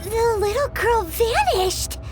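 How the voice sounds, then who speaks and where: A woman voicing a tiny floating fairy companion who is excitable and chatty, with a squeaky, bouncy delivery. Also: takes it slowly, over music, heavily acted. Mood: excited. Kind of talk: video game voice acting. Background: orchestral game score.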